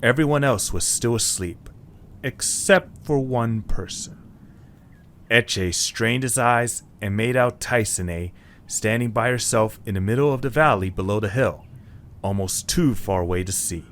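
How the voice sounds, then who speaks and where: A man's voice: mid-range voice, unhurried, a bit bright. A man reading scripted story narration as the narrator of an audio drama.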